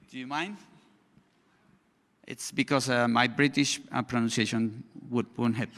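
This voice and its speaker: Slow, halting man in a big hall. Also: mid-range voice, steady tone, fairly bright tone. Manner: slow, halting, frequent restarts. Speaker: conference speaker. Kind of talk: conference talk with audience Q&A. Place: large conference hall. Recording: hall reverb.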